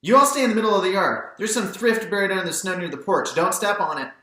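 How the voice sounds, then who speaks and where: A man's voice: sits high, fast talker, pushed and bright, very echoey, voice pushed up. A man voicing a bossy, scolding older Southern woman neighbour in an audiobook, speaking in exclamations. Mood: brisk scolding. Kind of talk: audiobook character voice.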